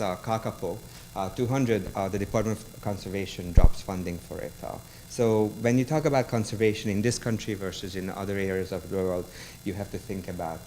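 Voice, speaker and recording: Man, Hungarian accent, lowish voice, stop-start delivery, lots of ums, lecturer at a book talk, faint background hum. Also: darkish tone. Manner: halting, many ums and restarts. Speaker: university lecturer.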